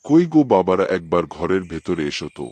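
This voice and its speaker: A man's voice: sits low, big pitch swings, fairly quick, mellow, no room sound. A man voicing a hardworking old village farmer who lectures his quarreling sons in an earnest, fatherly way. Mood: calling.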